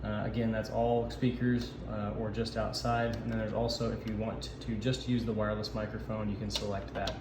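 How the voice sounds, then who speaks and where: Guy with a lowish voice doing a casual how-to, kinda halting, clear background noise. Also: level tone. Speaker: sound system installer giving a walkthrough. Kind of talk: informal instructional demo narration. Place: indoor camp office. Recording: echoey room, noisy ambient background.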